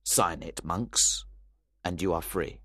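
A man reading a story aloud, voicing a composed, stern older gentleman who lays out accusations firmly. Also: deep voice, husky, dry recording, studio quality, heavily acted. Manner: firm, measured and accusing.

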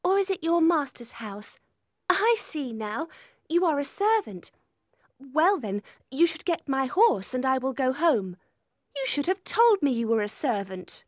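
High-pitched, haughty little girl. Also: big pitch swings, measured pace, dry recording, studio quality. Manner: pouting, imperious. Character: spoiled, willful, haughty little rich girl.

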